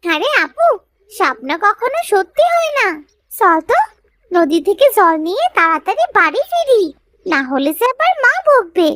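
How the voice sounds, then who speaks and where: A woman voicing an excitable, easily frightened young village girl in a cartoon story. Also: extremely high pitch, normal speed, dry recording, studio quality, exaggerated voice. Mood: curious, chatty.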